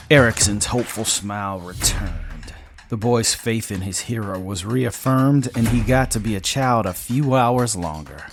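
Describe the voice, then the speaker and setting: A man with a lowish voice narrates a science fiction story. His scripted, dramatic storytelling sits over clearly audible music. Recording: music under the voice.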